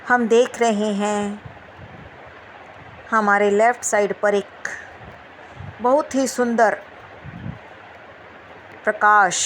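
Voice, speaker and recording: A woman leading a guided meditation, giving gentle instructions with repeated phrases and long pauses. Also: a little high, slight background noise, low-quality recording.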